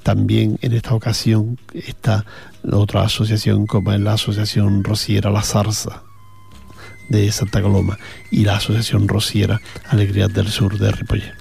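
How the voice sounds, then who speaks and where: Gravelly-voiced Andalusian man on radio. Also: lowish voice, completely flat delivery, moderate pace, darkish tone, soft background music. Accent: Andalusian Spanish. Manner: rambling, chatty, with frequent fillers and repeated words. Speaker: radio host. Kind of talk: casual radio commentary between songs.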